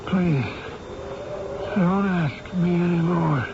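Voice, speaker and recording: Dull, noisy old radio sound carries a delirious professor rescued half-dead. He speaks extremely slowly, weak and halting, pleading in a dazed, distressed way. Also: high voice. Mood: pleading, anguished. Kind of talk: old-time radio drama acting.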